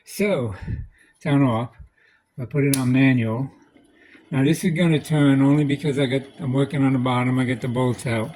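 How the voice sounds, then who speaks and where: A man's voice: mid-range voice, normal speed, darkish tone, slight background noise, poor audio. A man giving a casual, unscripted electronics repair demonstration, plain-spoken and practical, thinking aloud as he works.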